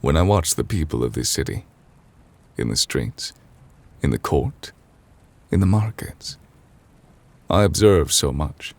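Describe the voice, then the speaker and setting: A man voicing a solemn, gentle, ancient immortal chief justice in an ASMR roleplay, speaking formally and haltingly in a confiding, gravelly, breathy voice. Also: a bass voice, sing-song, full character voice. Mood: tender, reflective.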